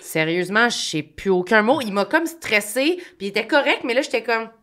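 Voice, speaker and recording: A young woman with a Quebec French accent hosting a casual podcast, telling stories in a lively, self-mocking way. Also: a little high, a little fast. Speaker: podcast host.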